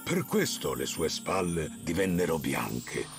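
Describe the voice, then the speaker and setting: A man playing a wise, kindly old bear who tells tales in a children's cartoon, with a gravelly, expressive voice. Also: a bass voice, unhurried, over music, heavily acted. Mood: solemn storytelling. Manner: storytelling, expressive.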